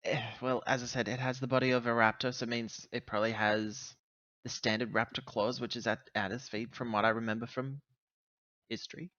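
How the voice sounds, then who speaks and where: A man's voice: medium pitch, little pitch movement, fairly quick, a bit bright, no room sound, close to an everyday voice. A man playing an earnest corporate agent briefing his crew in a tabletop roleplay, hesitating as he searches for words, on a lo-fi recording. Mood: earnest, explanatory.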